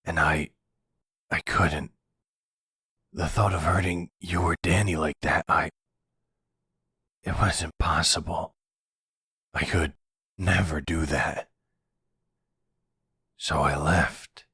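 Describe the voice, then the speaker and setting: A man acts out a long-lost mob husband, loving and full of remorse. The voice is extremely low and rough, with a slow, halting, pleading delivery in an intimate roleplay drama. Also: steady tone, pushed and bright, no echo, clean studio sound, full character voice. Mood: remorseful, loving. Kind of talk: ASMR audio drama roleplay.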